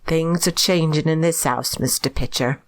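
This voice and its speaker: A woman's voice: sits low, normal speed, lightly acted. A woman playing a gossipy, plain-spoken housekeeper in an audio drama. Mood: knowing, confiding.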